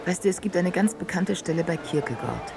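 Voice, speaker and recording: A woman's voice: fairly low pitch, expressive, brisk, vocal fry, a crowd in the background. An actress playing a well-read, wry woman who talks reflectively and articulately in a radio play conversation. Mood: reflective, knowing. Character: well-read woman musing wryly on seducers with a friend.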